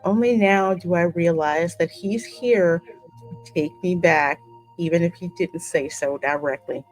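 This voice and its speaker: A woman with a slightly low voice hosts a game playthrough. She reads story lines theatrically and drops into casual commentary, with soft music underneath. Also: a little slow, low-quality recording. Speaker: gaming channel host. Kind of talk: reading game dialogue aloud with casual reactions.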